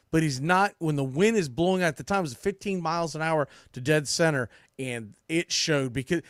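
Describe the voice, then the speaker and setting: An American man with a fairly high voice hosts a sports podcast in a dry, close-sounding space. He delivers opinionated baseball commentary bluntly and emphatically, with some salty language. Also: a bit bright, no echo. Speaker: sports podcast host and play-by-play broadcaster.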